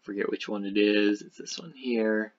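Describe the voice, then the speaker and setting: A man's voice: on the low side, steady tone, normal speed, muffled recording. A man giving casual, speculative game commentary over a screen share, rambling and thinking aloud. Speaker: gaming video creator.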